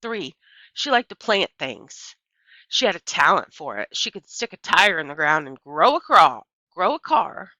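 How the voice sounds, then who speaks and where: A woman voicing a gentle, reflective preacher father in a children's story reading, speaking in a measured way. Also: lowish voice, sing-song, dry recording, exaggerated voice. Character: gentle, thoughtful preacher father recalling his wife. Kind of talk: children's audiobook character voice.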